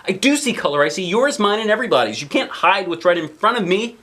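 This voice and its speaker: An indignant, outspoken young girl from a storybook, acted out by a man reading aloud. The voice is pushed high and comes out louder, insisting on being told the truth. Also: lively intonation, quick pace, heavily acted. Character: upset, outspoken young girl confronting her mother. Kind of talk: picture book read-aloud.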